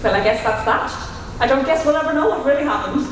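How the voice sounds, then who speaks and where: A woman's voice: high voice, animated, quick pace, a little hiss or hum. A woman playing a wry male police commissioner in a live radio drama, dry in manner. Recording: echoey hall from far off.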